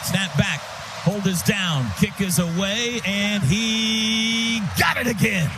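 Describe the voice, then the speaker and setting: High-pitched male football play-by-play announcer. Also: slow pace, music in the background. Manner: excitable, urgent, punchy calls that swell to shouts. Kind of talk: live football radio commentary in short clipped phrases.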